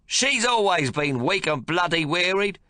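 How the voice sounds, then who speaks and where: A man's voice: high-pitched, sing-song, unhurried, pushed and bright, no echo, studio quality, voice pushed up, full character voice. A man voicing a domineering, crude old grandfather who bellows and bullies. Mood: bullying. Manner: coarse, bellowing, bullying.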